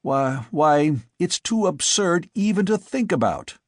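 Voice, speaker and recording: A man's voice: a little high, lively intonation, unhurried, no echo, studio quality, voice pushed up. A man voicing an earnest, agitated young man who pleads insistently with his father.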